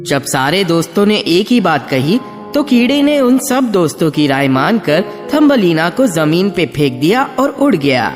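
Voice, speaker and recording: A man's voice: high-pitched, very animated, a little slow, few pauses, in a large hall, faint music behind. A man narrating a scripted fairy tale for children as a storyteller.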